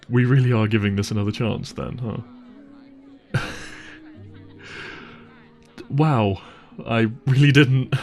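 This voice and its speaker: A man's voice: fairly low pitch, gravelly. A man playing an apologetic, devoted boyfriend with money in an intimate roleplay audio drama, speaking earnestly and tenderly. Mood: relieved, grateful. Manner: earnest, tender, hesitant phrasing with sighs. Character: apologetic, devoted, secretly wealthy boyfriend on a dinner date. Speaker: voice actor.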